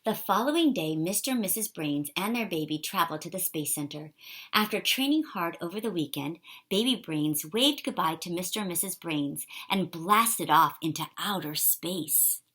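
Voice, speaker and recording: Animated woman reading a children's storybook. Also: fairly low pitch, moderate pace, breathy edge. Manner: animated, addressing young listeners. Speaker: storybook reader. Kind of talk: expressive read-aloud of a children's picture book.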